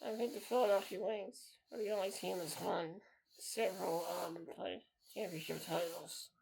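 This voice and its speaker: Man, 30s, podcast host, gravelly, earnest repetitive monologue. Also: high voice, steady tone, moderate pace, darkish tone, a little hiss or hum, poor audio. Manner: earnest and repetitive, circling back to the same phrases.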